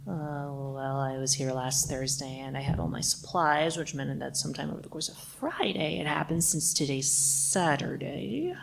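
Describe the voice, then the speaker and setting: A woman playing a nervous, slow-witted, apologetic janitor in a murder mystery radio play, flustered and stammering. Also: sits low, creaky. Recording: noticeable background noise.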